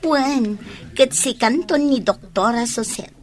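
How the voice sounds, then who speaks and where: A woman's voice: fairly high pitch, slow pace. A young woman with a Filipino accent playing a hopeful, chatty student near graduation in a radio drama. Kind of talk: Ilocano radio drama acting.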